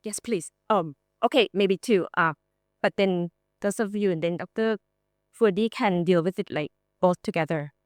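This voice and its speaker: A woman's voice: fairly low pitch, moderate pace, no echo, clean studio sound. A woman academic moderating a seminar, speaking spontaneously. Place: seminar room.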